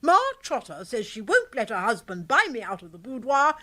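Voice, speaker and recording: Posh english aunt, bossy n dramatic, high lively voice, radio comedy. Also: female voice, full character voice. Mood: indignant. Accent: upper-class English. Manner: brisk, forceful, melodramatic. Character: bossy, hearty, theatrical upper-class English aunt.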